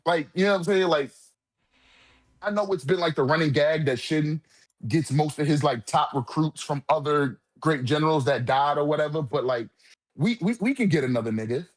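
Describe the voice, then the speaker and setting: A man's voice: fairly high pitch, normal speed. A man in a casual manga discussion, talking in an emphatic, profane, slang-heavy way as a commentator.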